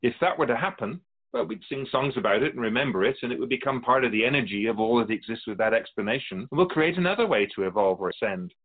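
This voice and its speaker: A serene otherworldly keeper of souls, voiced by a man, speaks in a measured, philosophical, gently lecturing manner for a radio drama. His voice sits in a middle pitch. The sound is narrow and tinny, like a phone line. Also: animated, natural voice. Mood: calm, wise. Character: otherworldly male soul-realm keeper, calm and wise.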